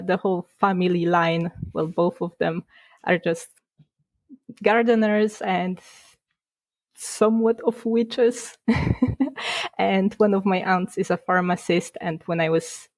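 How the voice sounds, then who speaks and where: A young woman from Poland, a game designer, talking casually in a podcast interview, chatty and quick to laugh. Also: fairly low pitch, no room sound. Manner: chatty, laughs between points, restarts words.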